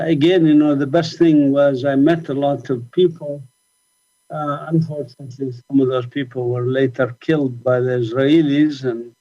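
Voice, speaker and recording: A middle-aged man from Palestine speaks at a measured pace, pausing often with ums and going back over his words. His pitch sits in an ordinary middle range. The audio is slightly muffled and lo-fi. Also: dark tone. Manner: thoughtful, halting, many ums and restarts. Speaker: conference speaker. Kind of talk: talk about his life and activism.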